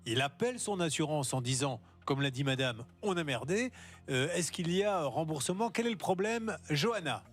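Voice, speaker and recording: Teasing lively male talk-show host. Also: a little high. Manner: teasing, joking, brisk. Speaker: consumer-help show host. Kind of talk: lively studio phone-in talk.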